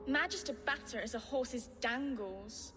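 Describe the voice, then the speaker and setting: A woman's voice: on the high side, a little slow, long reverb tail, heavy background noise, natural voice. A woman voicing a small, earnest, plucky orphaned child in a video game. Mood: cheeky. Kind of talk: video game cutscene acting.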